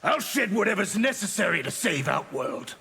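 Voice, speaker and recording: A man playing an arrogant, brutal army officer in a video game, gruff and contemptuous. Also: sits high, very animated, normal speed, bright, forceful tone, exaggerated voice. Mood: contemptuous, boastful. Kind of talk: video game character dialogue.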